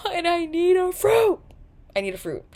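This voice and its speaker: A woman's voice: very high voice, takes it slowly. A young woman from New York hosting a casual, chatty vlog, swearing and joking as she talks. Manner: chatty, animated, swears and jokes. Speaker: vlogger, podcast host. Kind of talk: casual chat to camera.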